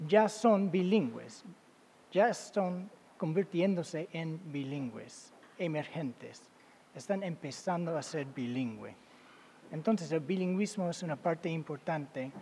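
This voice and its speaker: A man with as his giving an academic talk as a guest lecturer, halting, with many fillers and self-corrections. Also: high-pitched, dark tone. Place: lecture hall. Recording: long reverb of a large hall.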